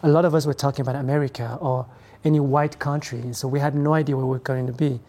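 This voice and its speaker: Soft-toned young man. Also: medium pitch, steady tone. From Ethiopia, raised in France. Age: 20s. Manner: reflective, hesitant, halting phrasing. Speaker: guest. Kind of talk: conversational interview.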